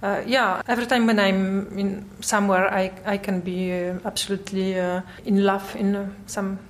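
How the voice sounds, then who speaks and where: A woman in her forties from Poland, with a Polish accent, answering interview questions in a hesitant, halting way and searching for English words. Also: medium pitch, flat delivery, slight background noise. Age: 40s. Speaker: artist guest.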